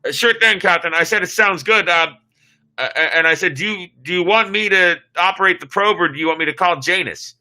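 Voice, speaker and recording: A man's voice: high voice, brisk, pushed and bright, pitched above the performer's own voice, heavily acted, poor audio. A man voicing an eager, nervous spaceship crewman who stammers and defers in a sci-fi story reading. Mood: eager, deferential.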